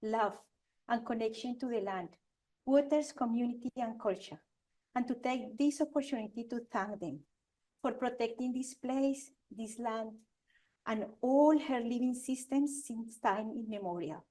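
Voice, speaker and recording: A woman's voice: a little high, dark tone. A woman in her forties from Colombia with a Colombian Spanish accent, giving an earnest conference talk as an architect, speaking Spanish-accented English with frequent restarts. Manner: earnest, halting, with restarts and fillers. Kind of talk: conference presentation. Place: lecture venue with audience.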